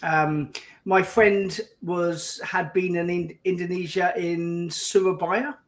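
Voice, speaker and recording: A chatty man co-hosting a casual online talk. His voice sits high for a man, and he rambles through stories in a relaxed, conversational way. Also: slow pace. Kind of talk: casual chat.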